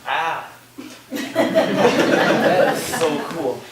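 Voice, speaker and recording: A man's voice: a little high, sing-song, extremely slow, full character voice. A man in a staged play reading, playing an open-minded, easily awed student with a casual, agreeable manner. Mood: impressed, agreeable. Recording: echoey distant room with loud crowd noise.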